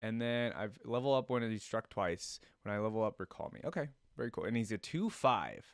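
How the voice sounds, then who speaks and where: A man's voice: lowish voice, animated, a little fast, no room sound, clean studio sound. A man giving casual, informal reaction commentary and chatting to his viewers. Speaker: reaction video host. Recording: music behind the voice. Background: game trailer music.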